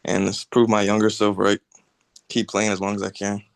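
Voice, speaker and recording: A young college football player from the Bahamas, talking plainly on a video call. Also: male voice, on the low side, completely flat delivery, moderate pace, creaky, no echo. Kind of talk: casual video call chat.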